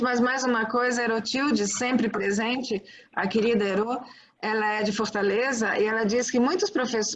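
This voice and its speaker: A woman with a fairly high voice moderates a webinar, reading out audience questions and prompting panelists to answer. The video call audio is muffled and lo-fi.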